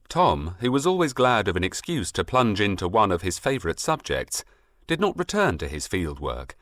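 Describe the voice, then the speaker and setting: Expressive low-voiced man reading a story. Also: dry recording, clean studio sound. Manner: expressive, literary, measured storytelling delivery. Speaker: audiobook narrator. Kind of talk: scripted storybook narration.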